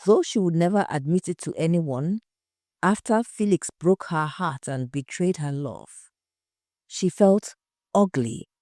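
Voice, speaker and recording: A woman's voice: on the low side, mellow, no echo, clean studio sound. A woman narrating a scripted audio story.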